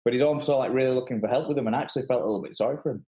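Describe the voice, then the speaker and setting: A man speaks as a podcast guest in an informal, conversational way. His voice is medium in pitch. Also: very fast, mellow. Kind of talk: casual chat.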